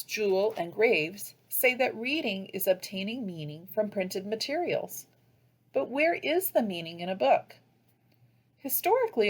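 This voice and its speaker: American woman presenting with very lively pitch. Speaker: teacher trainer, presenter. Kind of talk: scripted instructional presentation narration.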